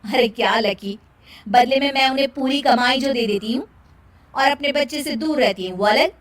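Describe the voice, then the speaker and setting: A woman puts on a young working daughter-in-law for a cartoon moral tale. Her voice is high and emphatic, with a huffy, defensive, harried edge. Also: normal speed, lightly acted. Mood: irritated. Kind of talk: cartoon moral story acting.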